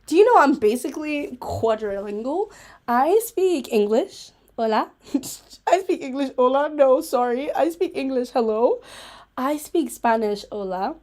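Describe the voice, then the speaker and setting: A woman hosting a casual, rambling livestream chat, playful, giggly and self-mocking, with an animated, emphatic way of talking. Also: high voice, a little slow. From Africa. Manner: animated, emphatic, repeating herself for effect. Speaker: host of a chat vlog.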